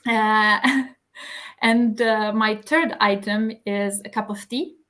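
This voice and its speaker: A woman from Serbia, speaking English as her second language with a Serbian accent, talking as an interview guest in a measured way with many filler pauses. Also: medium pitch, steady tone, a bit bright. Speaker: guest, magazine editor. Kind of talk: casual interview chat.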